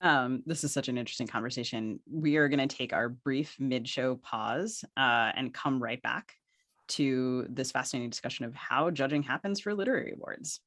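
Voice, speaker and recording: A woman's voice: very deep voice, normal speed, a bit bright, no room sound, studio quality. A woman co-hosting a podcast, framing long, careful questions for her guests. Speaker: podcast co-host.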